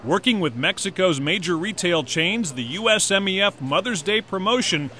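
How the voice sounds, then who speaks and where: A male narrator with a high, bright voice reads a corporate feature script. His delivery is measured and polished, recorded as a clean studio voice-over. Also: animated, slight background noise.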